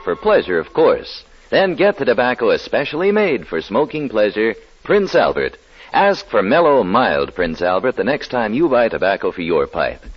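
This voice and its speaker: A man announcing a commercial in the style of 1940s radio, with a husky voice. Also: lowish voice, big pitch swings, normal speed. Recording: muffled vintage radio broadcast.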